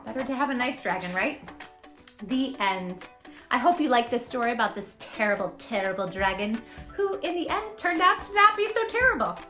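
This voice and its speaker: A woman reading a picture book aloud to young children, with dramatic emphasis, hushed asides and questions to her listeners. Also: a little high, very animated, normal speed, roomy sound, faint music behind. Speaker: children's storytime host.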